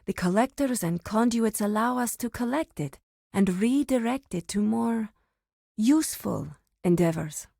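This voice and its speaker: A woman playing a reverent, solemn druid of an ancient tribe in a fantasy video game, speaking formally with deliberate pauses. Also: a little high, lively intonation, vocal fry, mellow, dry recording, clean studio sound, exaggerated voice. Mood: solemn, contemplative.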